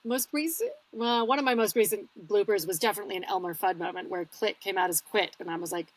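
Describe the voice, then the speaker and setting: A woman's voice: mid-range voice, quick pace, dry recording, low-quality recording. A North American woman chatting casually as a podcast guest and audiobook narrator, telling stories with little acted-out asides.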